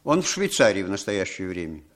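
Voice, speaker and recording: An actor playing an insistent, smooth-talking man who coaxes and persuades in a 1960s radio play. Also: low voice, big pitch swings, brisk. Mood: coaxing. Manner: coaxing, wheedling, emphatic.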